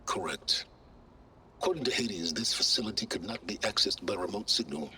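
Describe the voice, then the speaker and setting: Game casting: condescending, scheming middle-aged researcher; lowish, very expressive pitch, sardonic and impatient. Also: male voice, normal speed, a little hiss or hum. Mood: condescending, guarded. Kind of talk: dramatic video game dialogue.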